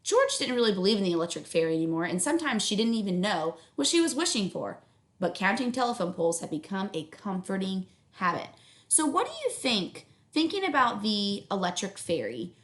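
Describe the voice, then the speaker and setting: Animated woman reading aloud in an echoey room. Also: mid-range voice, moderate pace. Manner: instructional, checks understanding, affirms listeners' answers. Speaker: teacher reading a children's book aloud. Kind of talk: read-aloud narration with questions to young listeners.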